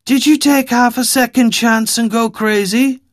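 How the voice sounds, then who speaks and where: Brief: cursing, frightened street woman, as a male narrator does her, shrill, dry close sound. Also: fairly even intonation, unhurried, no room sound. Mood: hostile. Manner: shrill and exasperated. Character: scared, hostile homeless woman, snapping and cursing.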